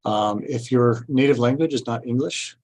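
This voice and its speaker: A man giving an informational presentation at a public meeting, explaining things step by step in a halting way. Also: medium pitch, a little mellow. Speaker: city staff presenter at a grant orientation.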